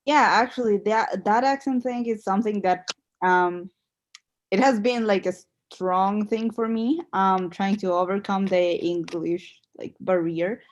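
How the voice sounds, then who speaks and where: A young woman with a Spanish accent, her first language Spanish, who pauses and searches for words in English. She speaks on a panel over a video call at a medium pitch. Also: highs cut off. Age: young adult. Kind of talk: panel discussion.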